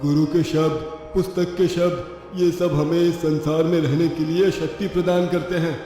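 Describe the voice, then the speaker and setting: A man's voice: a little high, flat delivery, normal speed, warm tone, natural voice. A man playing an earnest, idealistic villager making his case in a moral-story cartoon. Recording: very echoey hall, faint music.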